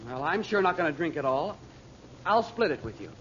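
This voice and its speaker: An actor playing an eager, plucky teenage nephew in an old radio serial. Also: male voice, fairly high pitch, very animated, fairly quick, fairly bright tone. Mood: stubborn, earnest. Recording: noisy muffled old radio recording.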